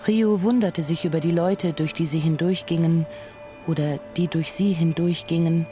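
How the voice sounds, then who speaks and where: A woman's voice: on the low side, fairly even intonation, normal speed, warm tone, lightly acted. A woman acting as the narrator of a radio drama, recounting absurd events evenly in scripted prose. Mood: wry, even. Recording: dry studio, faint music.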